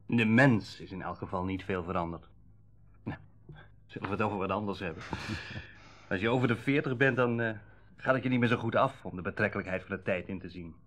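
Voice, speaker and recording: Low-voiced, musing middle-aged car dealer. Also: male voice, a little fast, a bit bright. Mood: reflective. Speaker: actor in a radio drama. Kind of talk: Dutch radio play acting, naturalistic dialogue.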